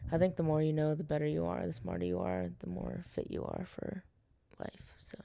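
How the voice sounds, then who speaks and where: Female podcast co-host, deep and gravelly, hesitant and full of fillers. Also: big pitch swings, fairly quick, mellow. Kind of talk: casual podcast chat.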